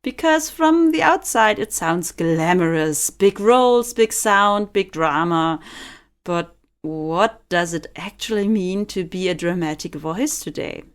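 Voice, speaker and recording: Smooth-voiced woman, podcast co-host. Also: lowish voice, lively intonation, measured pace. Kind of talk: scripted interview questions, then casual chat.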